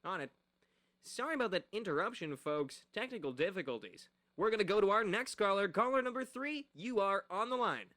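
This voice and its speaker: An actor playing an earnest late-night radio host and protective older brother in a radio play. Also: male voice, sits high, expressive, a little fast, bright, forceful tone. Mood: upbeat, dreamy.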